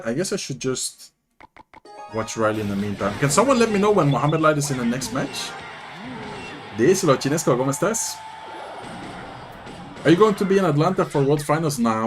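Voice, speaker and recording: A young man with a Dutch accent, chatting casually on a live stream, reading and answering viewers while commenting on matches. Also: medium pitch. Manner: chatty, reads and answers chat, animated. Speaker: streamer and content creator.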